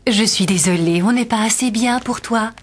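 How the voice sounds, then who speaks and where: Breathy, frail cartoon flower. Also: female voice, fairly high pitch, moderate pace. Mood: apologetic.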